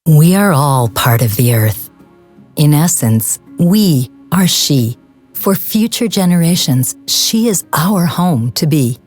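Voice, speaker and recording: A woman reciting a short nature poem in a measured, lyrical way, as a recorded voice-over. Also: low voice, animated, darkish tone, soft background music.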